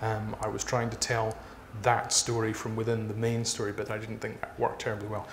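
A man in his thirties speaking haltingly as an author being interviewed on stage. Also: on the low side, completely flat delivery. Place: small dark stage before a seated audience. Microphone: lapel mic. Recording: noisy live event room recording.

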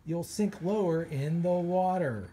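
A man, a children's author, reads a science picture book aloud with animated storytelling and pirate flair. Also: on the high side, a little slow, slight background noise. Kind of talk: expressive read-aloud.

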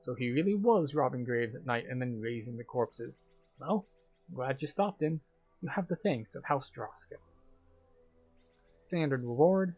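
A man's voice: mid-range voice, lively intonation, unhurried, long pauses, breathy edge, dull audio. Man guiding a game playthrough over music. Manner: explanatory, step-by-step. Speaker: gamer, video game tour guide. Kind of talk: casual playthrough commentary.

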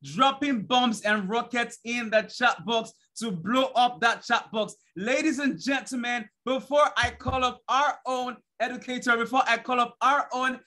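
A young man hosting an online event, an energetic hype man who keeps asking "right?" and sometimes shouts, on a video call from his bedroom. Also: extremely high pitch, unhurried, hardly any pauses. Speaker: host. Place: bedroom at home.

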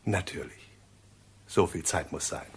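A low-voiced, brusque police lieutenant. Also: male voice, expressive, unhurried, slightly rough, full character voice. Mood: curt, sardonic. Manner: curt, authoritative. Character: brusque, curt male police lieutenant, grudgingly obliging. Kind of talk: German crime radio drama acting.

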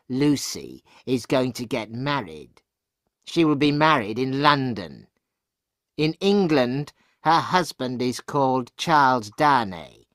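A man voicing a sly informer who reveals secrets in a drawn-out, pointed way, in an audiobook. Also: medium pitch, fairly bright tone, dry recording, studio quality, full character voice.

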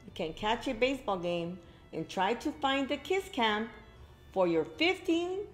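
A woman's voice: on the high side, big pitch swings, measured pace, faint music behind. A middle-aged woman reading a story aloud to young children, expressively, with exclaimed asides to her listeners. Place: indoor library room with bookshelves.

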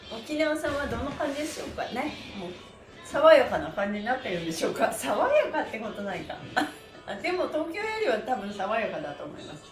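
A woman leading a live stretching class, cheerful and encouraging, chatting with viewers and chanting counts. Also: fairly high pitch. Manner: cheerful, encouraging, often laughing. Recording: roomy echo, faint background noise.

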